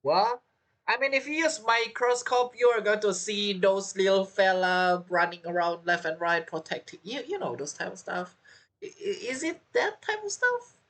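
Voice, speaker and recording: A man giving casual game commentary and reading text aloud in a halting way, reacting as he goes. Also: high-pitched, lively intonation, fairly bright tone. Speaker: gaming streamer.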